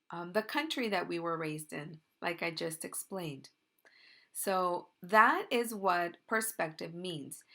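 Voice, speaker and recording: A woman's voice: medium pitch, fairly bright tone. Woman telling a children's story in church. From Mexico. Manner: simple, explaining, asks "right?". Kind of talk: gentle children's story talk. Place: church sanctuary.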